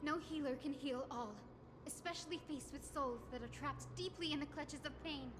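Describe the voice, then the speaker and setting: High, gentle young female healer, played by a woman. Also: moderate pace, natural voice. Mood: earnest. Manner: polite, earnest, soft-worded. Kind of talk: video game voice acting. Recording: noisy game ambience behind.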